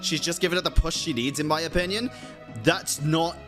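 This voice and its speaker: A woman's voice: low voice, brisk. A woman giving casual live reactions as a game streamer, wry, informal and sweary. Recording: game music behind the voice.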